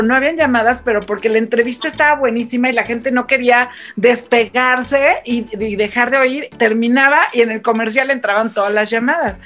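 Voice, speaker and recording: A woman radio broadcaster chatting on a panel, her voice bright and a touch high. She tells her stories with animation, acting out the quoted remarks. Faint music plays underneath. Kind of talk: casual panel chat.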